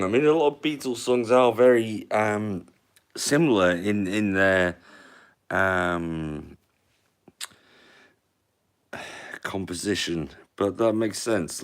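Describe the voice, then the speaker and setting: A man hosting a casual live stream in a monotone voice, with many trailing fillers. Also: low voice. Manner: rolling a cigarette while talking. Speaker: livestream host.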